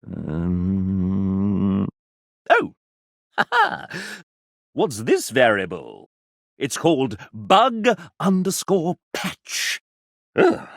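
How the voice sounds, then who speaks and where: A man's voice: on the high side, a bit bright, no room sound, studio quality, heavily acted. An actor playing a theatrical, fussy and sardonic man, a video game show host with an arch, dramatic manner. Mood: fussy, determined. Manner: arch, dramatic, drawn-out phrasing with big swoops.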